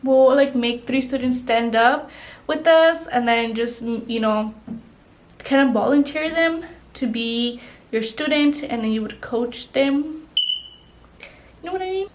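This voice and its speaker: A woman's voice: high voice, unhurried. A young woman vlogger chatting casually while doing her makeup, rambling with lots of "like" and "you know". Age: young adult. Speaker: beauty vlogger.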